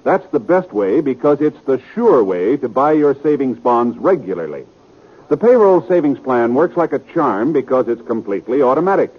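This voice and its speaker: An American man announces in classic 1950s radio style. His scripted delivery swings in pitch, and his voice is somewhat rough. The sound is narrow and tinny. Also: sing-song, moderate pace.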